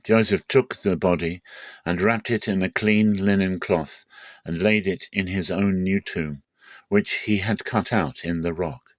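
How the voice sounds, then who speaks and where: A man narrating a Bible passage as a measured, deliberate dramatic scripted reading. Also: deep voice, no room sound.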